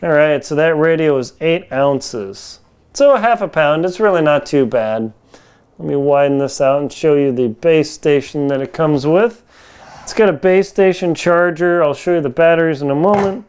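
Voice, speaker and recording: A man's voice: a little high, animated, moderate pace, darkish tone, smooth. A man in his forties giving a casual, hands-on gear review in informal, folksy wording, recorded indoors on a lapel mic. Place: home kitchen, indoors.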